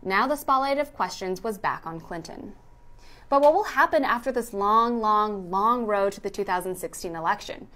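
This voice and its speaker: A woman's voice: mid-range voice, expressive, normal speed, pushed and bright. A woman reading scripted narration for a news explainer.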